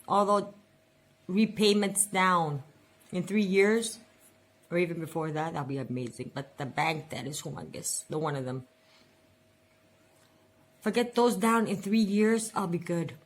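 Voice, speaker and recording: A woman in her forties venting and rambling in a casual personal budget vlog, repeating words for emphasis. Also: fairly low pitch. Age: 40s. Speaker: budget vlogger.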